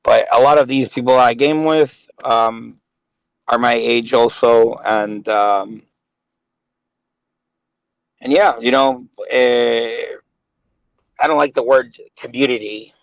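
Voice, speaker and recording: A man's voice: mid-range voice, fairly even intonation, slow, gravelly, pauses often, no echo, muffled recording. A man in his late thirties chatting casually on a voice call, rambling and hesitant with many fillers. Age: 30s. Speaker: gaming guest. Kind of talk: casual chat.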